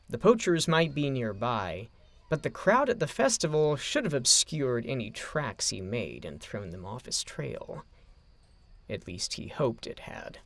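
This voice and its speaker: A man's voice: mid-range voice, vocal fry, fairly bright tone, no echo. A man narrating a scripted story as an audio drama narrator.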